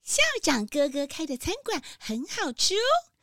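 Tiny, squeaky meowing kitten pupil. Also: female voice, sing-song, normal speed, a bit bright, no room sound, studio quality, heavily acted. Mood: cute, excited. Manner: meowing, childlike. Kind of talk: children's audio drama acting.

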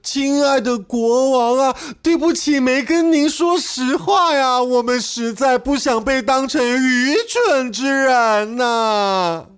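A woman voicing an apologetic townswoman in a storybook, pleading in a drawn-out way. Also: high voice, clean tone, voice pushed up. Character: apologetic townswoman confessing to the king.

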